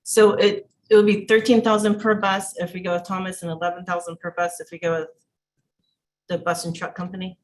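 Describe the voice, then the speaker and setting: A woman's voice: lowish voice, flat delivery, a little fast, rough recording. A woman speaking as a board member, asking probing questions in a conversational way at a meeting. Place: indoor school board meeting room. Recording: meeting room with faint background noise.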